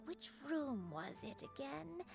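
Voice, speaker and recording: A woman voicing a gentle, wistful elderly grandmother in a video game, speaking softly and haltingly as if recalling a hazy past. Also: sits high, sing-song, vocal fry, over music, full character voice. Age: older. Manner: slow, halting, soft.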